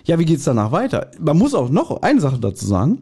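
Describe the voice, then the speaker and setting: A man's voice: a little high, huge pitch swings, fairly quick, warm tone, slightly rough. A man chats casually as a podcast co-host. He sounds wry and grumbles about himself in a self-deprecating way.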